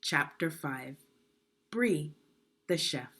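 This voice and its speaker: A woman reading a children's storybook aloud expressively, in a deliberate, teacherly manner. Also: low-pitched, lively intonation, sharp tone, husky. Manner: deliberate, teacherly, asks the listener questions. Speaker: education consultant reading a children's book.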